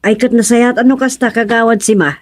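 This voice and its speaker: A woman's voice: fairly high pitch, fairly even intonation, fairly quick, no room sound, natural voice. A woman playing a busy, friendly village organizer in an Ilocano radio drama-comedy.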